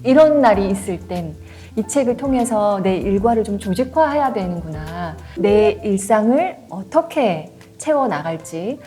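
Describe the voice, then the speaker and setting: A woman in her forties hosting a book talk, lively and emphatic, laughing often. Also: a little high. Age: 40s. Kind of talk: warm conversational interview.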